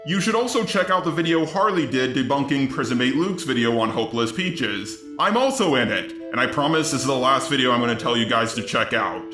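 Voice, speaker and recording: A man's voice: mid-range voice, animated, fairly quick, few pauses. Male, text-to-speech robot, scripted commentary, mechanical delivery, echoey, music behind. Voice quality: synthetic robot voice. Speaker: host of an online commentary channel. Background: background music.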